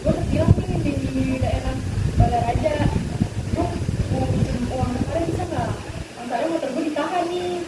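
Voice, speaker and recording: A woman's voice: sits high, normal speed. A young woman speaking casual slang, pleading for a favor in a student skit. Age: young adult. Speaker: student acting a friend on the phone. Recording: echoey, far off, loud noise.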